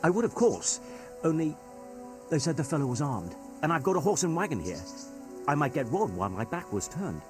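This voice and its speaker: A man's voice: fairly high pitch, very animated, brisk, over music. An actor playing a timid, worried middle-aged merchant father in a medieval video game. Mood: worried, defensive. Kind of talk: naturalistic video game acting.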